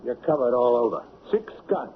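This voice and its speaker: A man acts as a commanding police officer in a radio drama. His mid-pitched voice comes through a narrow, slightly noisy old broadcast. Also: sing-song, normal speed, natural voice. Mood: firm, triumphant. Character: commanding male lawman springing a trap.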